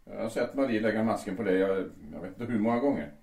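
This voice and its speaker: A man playing a calm, sly man who quietly follows another like his shadow, in an audio drama. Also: lowish voice, far from the mic, natural voice. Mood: insistent. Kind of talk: amateur audio drama acting. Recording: roomy, from off-mic.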